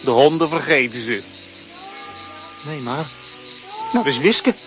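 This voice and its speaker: A man's voice: mid-range voice, lively intonation, slow pace, fairly bright tone. A man voicing a cheeky, lonely talking dog in a children's radio play, speaking with a Flemish accent and a wry, mocking manner. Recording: music playing under the voice.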